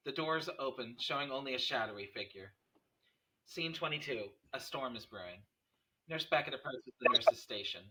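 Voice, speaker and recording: A man narrates a screenplay at a table read. His mid-range voice is bright and crisp in tone, picked up a little way from the microphone. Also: mid-range voice, expressive, moderate pace, distant mic, poor audio. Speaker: narrator reading stage directions.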